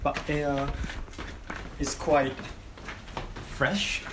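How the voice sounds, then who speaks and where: Japanese-accented man, slow halting speech, mid pitch, faint noise. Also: fairly even intonation. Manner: halting, searching for words, agreeable. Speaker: co-host. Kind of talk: casual chat. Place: outdoors on a street.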